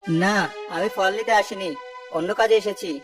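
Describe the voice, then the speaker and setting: A woman's voice: medium pitch, steady tone, moderate pace, close to an everyday voice. A woman playing a greedy, impatient man who catches birds, blunt and threatening, in a children's cartoon. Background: music.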